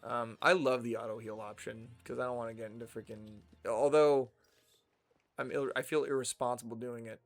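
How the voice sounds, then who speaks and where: A man's voice: mid-range voice, steady tone, normal speed, soft background music. A young man with a General American accent, rambling casually to himself while playing a video game. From United States. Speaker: streamer. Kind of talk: casual gameplay commentary.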